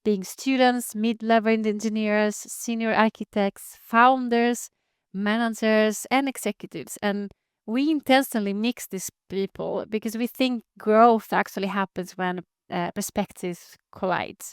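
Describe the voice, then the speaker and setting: Earnest woman in her thirties, grew up in Greece, smooth clear voice, dry close sound. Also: mid-range voice, steady tone, measured pace, no echo. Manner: earnest, many fillers and restarts. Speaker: community founder, data engineer. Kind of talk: interview answers.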